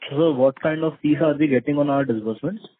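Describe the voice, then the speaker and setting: An Indian-accented man with a husky voice, an analyst asking deferential but persistent questions on a call. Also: on the high side, quick pace. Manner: deferential, persistent, often says sir. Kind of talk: spontaneous conference call questions. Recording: muffled, narrow phone line.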